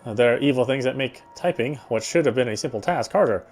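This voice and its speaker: Low-voiced man reading game narration. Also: a little fast, a little mellow, slightly rough, soft background music. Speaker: let's player reading a game aloud. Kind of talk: reading visual novel narration aloud.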